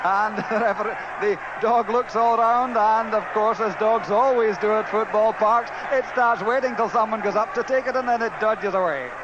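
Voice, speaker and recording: A man's voice: high-pitched, moderate pace, hardly any pauses, crowd noise. A man giving live football commentary on the radio from an outdoor ground. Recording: dull archive outdoor radio, clear ground noise.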